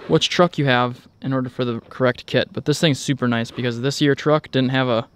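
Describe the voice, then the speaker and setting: A man's voice: medium pitch, fairly even intonation, brisk, a bit bright, clean tone. A man vlogging outdoors, narrating a casual walkaround in a rambling, informal way. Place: outdoors in a driveway beside a truck.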